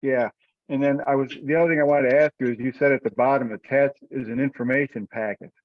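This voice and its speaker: A man's voice: mid-range voice, fairly even intonation, quick pace, no echo. A man chairing a group teleconference in a conversational, somewhat rambling and hesitant way. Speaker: meeting chair. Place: remote group conference call. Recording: dull, lo-fi conference call audio.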